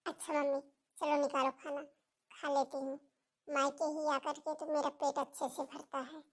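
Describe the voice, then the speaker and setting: A woman's voice: high-pitched, little pitch movement, normal speed, natural voice. A woman voicing a stubborn, complaining young married daughter in a cartoon family drama, with an aggrieved manner. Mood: meek.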